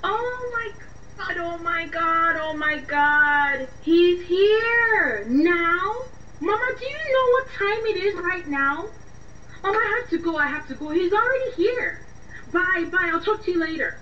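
A very high, pleading young woman's voice, acted by a woman with a Nigerian accent. She is panicky and breathless in a radio drama, heard a little far from a muffled mic. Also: expressive, unhurried. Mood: panicked, pleading. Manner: breathless, pleading, repeating herself. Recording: muffled distant mic with faint noise.

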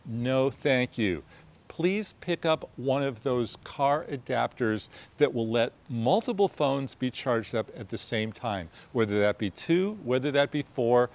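A man's voice: mid-range voice, animated, measured pace, darkish tone. Emphatic American man in his sixties. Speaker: host of a travel photography video show. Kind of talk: presenting gear tips to camera. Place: outdoors on a quiet residential street.

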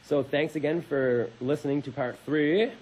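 A man in his twenties with a slightly husky voice, co-hosting a radio show, reading aloud and chatting. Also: fairly high pitch, normal speed, darkish tone, a little hiss or hum, poor audio.

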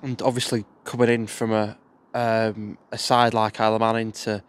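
Low flat-voiced male interviewer. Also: moderate pace, clean tone. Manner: hesitant, filler-heavy questioning. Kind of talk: club media interview. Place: outdoors in a football stadium stand. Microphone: handheld mic.

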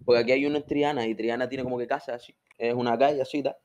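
A man's voice: medium pitch, steady tone, racing, a little mellow, highs cut off. A young man from the Canary Islands with a Canarian accent, telling comic stories casually on a video call in a slangy, boastful, rambling way. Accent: Canarian Spanish. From Canary Islands, Spain. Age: young adult. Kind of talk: casual chat, comic storytelling. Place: indoor room, video call.

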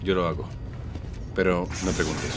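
A man playing an impatient, gruff robot soldier in a cartoon fan dub. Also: deep voice, little pitch movement, moderate pace, noisy background, close to an everyday voice. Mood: wary. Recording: loud music behind.

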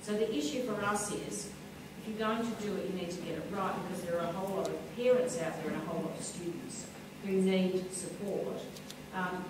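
A woman, an education leader on a panel, answering earnestly and briskly at a public forum. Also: on the low side. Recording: echoey hall, mic far off, noisy.

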